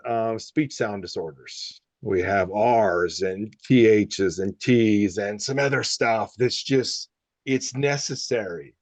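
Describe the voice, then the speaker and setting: A man who is a speech-language pathologist leading a casual group discussion, talking loosely with quick bursts and restarts. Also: mid-range voice, darkish tone, dry recording. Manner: conversational with many fillers and restarts, sometimes rapid.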